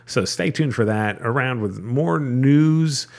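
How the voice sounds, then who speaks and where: Lively-voiced male podcast host. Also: medium pitch, slow pace. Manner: jokey, laughing, upbeat sign-off patter. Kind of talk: casual podcast chat.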